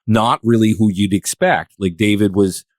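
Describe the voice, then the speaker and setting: A man's voice: lowish voice, no room sound, clean studio sound. A man hosting a casual panel discussion, feeling his way through sentences with repeated restarts. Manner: halting, searching phrasing with repeated restarts. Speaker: podcast host.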